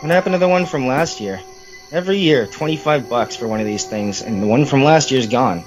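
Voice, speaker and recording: A man's voice: mid-range voice, fairly quick, over music, natural voice, low-quality recording. A man playing a grumbling, teasing brother in an audio drama, sarcastic and irreverent. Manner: sarcastic, complaining.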